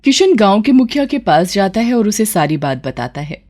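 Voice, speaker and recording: A woman's voice: medium pitch, very animated, moderate pace, a little mellow. A woman narrates a moral story in a scripted storytelling style.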